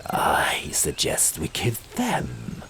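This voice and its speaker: A man voicing a vengeful male king of monstrous woodland beasts in an audiobook, speaking in a slow, menacing whisper. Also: mid-range voice, huge pitch swings, creaky, fairly bright tone, exaggerated voice. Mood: grim. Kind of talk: audiobook character voice.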